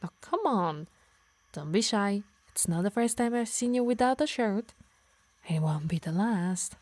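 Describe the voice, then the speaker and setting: A woman's voice: mid-range voice, lively intonation, unhurried, a little mellow. A woman playing a possessive, teasing harpy healer who scolds fondly in an ASMR roleplay. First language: Italian.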